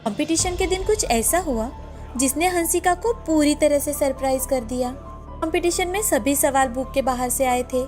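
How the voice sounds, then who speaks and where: A woman's voice: high voice, moderate pace, darkish tone, faint music behind. A woman narrator reading a scripted moral story for children.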